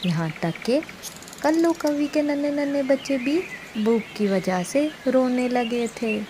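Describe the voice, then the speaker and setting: A woman's voice: high voice, animated, measured pace, noisy background. A woman narrating a scripted moral story for children as a storyteller.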